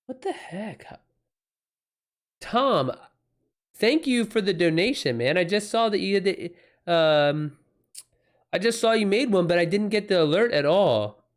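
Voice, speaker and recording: A man's voice: high voice, expressive, measured pace, long pauses. A man talking casually as a livestream host, informal and slangy, swearing now and then.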